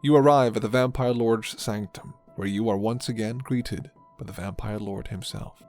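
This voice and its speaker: A man's voice: fairly low pitch, fairly even intonation, moderate pace, a little mellow. A man reading scripted second-person narration for an ASMR roleplay story. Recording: music behind the voice.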